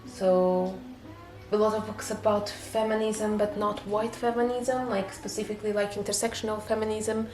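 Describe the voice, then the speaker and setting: A woman's voice: medium pitch, flat delivery, normal speed, darkish tone, reverberant, poor audio. A woman from Portugal with a Portuguese accent, English not her first language, chatting casually in a home vlog with many fillers and restarts. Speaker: booktuber.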